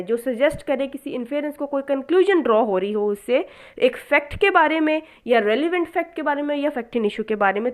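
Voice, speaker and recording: A woman with a Pakistani accent giving an explanatory lecture, mixing in English terms, in a didactic way with questions she answers herself. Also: a little high. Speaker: law lecturer.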